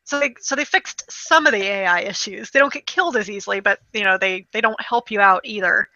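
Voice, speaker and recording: A woman chatting casually about video games, telling stories in an animated way with acted-out quotes and the odd swear word. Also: mid-range voice, brisk, no room sound, muffled recording. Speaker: podcast host.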